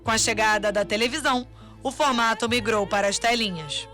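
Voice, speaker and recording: A woman giving scripted narration for a radio documentary in a measured, formal way. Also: on the high side, pushed and bright, soft background music.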